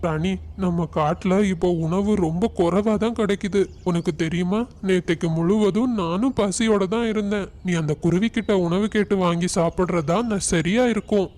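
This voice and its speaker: A carefree, lazy husband crow played by a man in a cartoon fable. His voice is high for a man, casual and persuasive. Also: normal speed, hardly any pauses, darkish tone, lightly acted. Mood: persuading. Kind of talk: animated moral-story dialogue.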